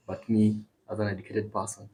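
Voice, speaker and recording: A man's voice: on the low side, monotone, fairly quick, warm tone, slight background noise, poor audio. Boastful Kenyan man, podcast host. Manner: boastful, joking. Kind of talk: casual podcast banter.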